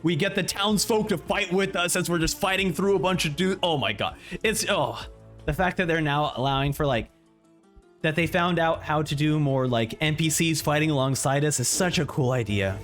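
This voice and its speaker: A man's voice: on the high side, moderate pace, fairly bright tone, faint music behind. A man giving casual, effusive live reaction commentary as a gaming streamer. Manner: enthusiastic, effusive.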